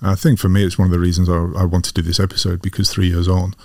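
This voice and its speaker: A man's voice: low voice, flat delivery, very fast, darkish tone, no room sound, studio quality. A man hosting an interview, asking thoughtful, probing questions. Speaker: podcast host and MBA teacher. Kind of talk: interview conversation.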